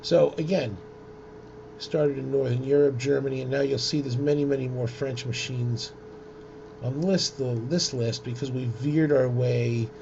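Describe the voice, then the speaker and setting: A man presenting an informal software tutorial in a halting, hesitant way, with frequent ums. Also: medium pitch, steady tone, gravelly. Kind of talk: informal screen-share software demonstration. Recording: dull lo-fi audio with loud noise.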